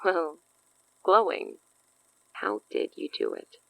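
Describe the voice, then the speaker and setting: A cocky supernatural villainess from a horror audio drama. Her voice is very low for a woman, close and dry. She talks in a smug, coy way, teasing as she offers her bargains. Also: huge pitch swings, slow, creaky, dry recording, full character voice, low-quality recording. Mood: intrigued, smug.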